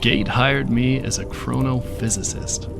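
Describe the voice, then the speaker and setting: A man's voice: mid-range voice, fairly even intonation, measured pace, vocal fry, over music. A man playing an undercover scientist turned fisherman in a video game, wary and resigned, with a folksy, wry, conspiratorial way of talking. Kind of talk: video game character dialogue.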